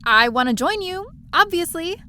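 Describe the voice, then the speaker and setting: A woman's voice: extremely high pitch, lively intonation, normal speed, bright, forceful tone, a little hiss or hum, exaggerated voice. An actress playing a chatty, curious young woman stowaway who narrates and talks through her space adventure in an audio drama. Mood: breezy, insistent. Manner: chatty, breezy, eager. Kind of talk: first-person audio drama narration and dialogue.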